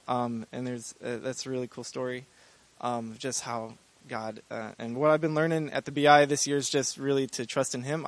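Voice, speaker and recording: A young man in his twenties from Michigan, a Bible school student giving a personal testimony in an earnest, halting way with many fillers. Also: mid-range voice, no echo. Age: 20s.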